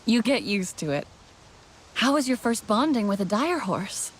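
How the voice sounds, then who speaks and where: An actress playing a calm, helpful young warrior heroine in a game, easygoing, inquisitive and gently teasing. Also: female voice, fairly high pitch, moderate pace, slight background noise, rough recording. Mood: calm, curious. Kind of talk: naturalistic game dialogue.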